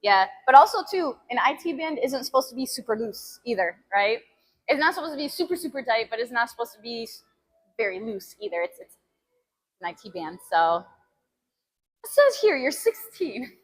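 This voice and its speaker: A woman chatting casually with live viewers as a fitness stream host, giggly and animated as she talks between sets. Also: a little high, normal speed. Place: gym, during a workout.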